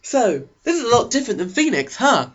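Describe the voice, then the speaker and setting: Man putting on an eager high-voiced nerdy teenage boy. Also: very animated, fairly quick, lo-fi sound, heavily acted. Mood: eager, hopeful. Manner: chirpy, over-eager, swooping. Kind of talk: mocking amateur audiobook character voice.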